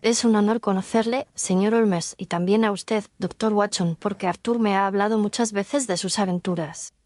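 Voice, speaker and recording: A woman's voice: medium pitch, fairly even intonation, moderate pace, darkish tone, pitched above the performer's own voice, lightly acted. A woman voicing a loyal young Victorian governess, polite, formal and imploring, in an audio detective story. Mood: earnest, imploring. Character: earnest, loyal young Victorian governess pleading for her fiancé.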